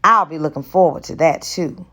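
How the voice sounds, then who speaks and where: A woman's voice: low voice, normal speed, a bit bright. A woman reading the lines of a confident, flirtatious married businessman in an audiobook romance. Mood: confident, teasing.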